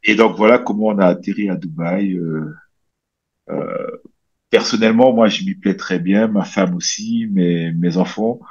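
Deep husky man on a video call. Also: measured pace. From French Guiana. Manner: relaxed, rambling, many fillers and restarts. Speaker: guest, bodybuilder and coach. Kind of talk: casual interview chat. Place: small room at home, indoors. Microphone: headset on a video call. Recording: slightly dull video call audio.

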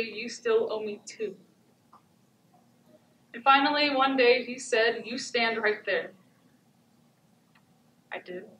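A haunted, stoic abused wife, acted by a teenage girl delivers a dramatic stage monologue in short, stark phrases. Her voice is fairly high, heard from a distance in an echoey theatre. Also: fairly bright tone. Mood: intense, bitter. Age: teens. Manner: deliberate, incantatory repetition with short stark phrases. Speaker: student stage actor. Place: theatre stage before an audience. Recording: echoey theatre, distant camera, background noise.

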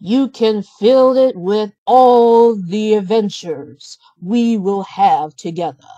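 A woman's voice: mid-range voice, slow, warm tone, no room sound, dull audio. A woman voicing a happy, devoted young prince in a storybook, earnest and emphatic. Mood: tender. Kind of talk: storybook character reading.

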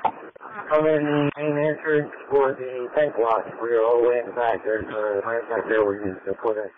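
A man's voice: medium pitch, fairly even intonation, moderate pace. A man working as an emergency responder, making short operational calls over a two-way radio. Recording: noisy, narrowband patched radio transmission.

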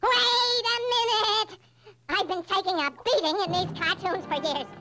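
An actor voicing a small male cartoon duck who is fed up with being beaten, with a squeaky, whiny voice. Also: normal speed, very noisy. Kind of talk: vintage cartoon voice acting. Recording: music behind voice.

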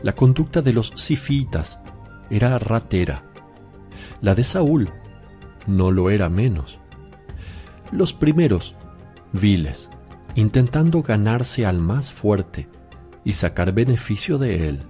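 Grave holy teacher in a radio play, preaching really slowly, soft music behind. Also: male voice, fairly low pitch, very animated. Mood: solemn, reproving. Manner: solemn, deliberate, preaching. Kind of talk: radio drama scripture teaching.

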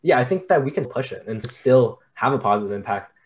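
A young man hosting a podcast, asking questions in a thoughtful, hedging way on a video call from a small bright room. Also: mid-range voice, lively intonation, fairly quick. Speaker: podcast host. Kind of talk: casual interview questions.

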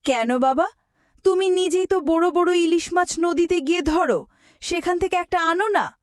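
High-pitched, wheedling little village girl. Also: normal speed, dry recording, clean studio sound. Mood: insistent pleading. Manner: wheedling, childlike. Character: pleading, stubborn little village girl, spoiled daughter. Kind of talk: cartoon voice acting.

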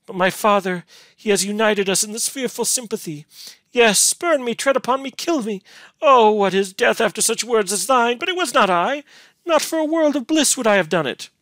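A man voicing a gentle, lonely, devoted young woman in a gothic storybook, with archaic, plaintive, imploring speech. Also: mid-range voice, very animated, normal speed, no room sound, voice pushed up. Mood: desperate anguish. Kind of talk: dramatic gothic storybook reading.

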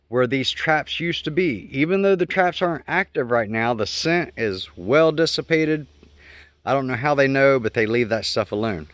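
A man's voice: mid-range voice, no room sound. A man giving a casual, unscripted explanation outdoors, thinking aloud and correcting himself as he goes. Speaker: homestead vlogger. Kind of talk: casual unscripted explainer while walking around the yard. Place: outdoors in a garden yard.

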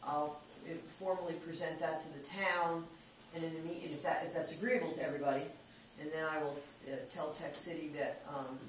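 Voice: mid-range voice, steady tone, a little fast, hardly any pauses. A water department official giving a casual, plain-spoken report at a board meeting, thinking aloud with frequent restarts. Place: meeting room around a conference table. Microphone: far camera. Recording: echoey room, distant camera, background noise.

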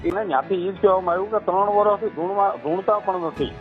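A man's voice: sits high, fast talker, soft background music. A man chatting casually on a recorded phone call, agreeable and brief in his responses.

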